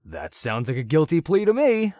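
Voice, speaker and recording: A man's voice: on the high side, huge pitch swings, normal speed, warm tone, dry recording, clean studio sound, pitched above the performer's own voice. A man voicing a gleeful, sadistic male vigilante who taunts his captive and laughs often.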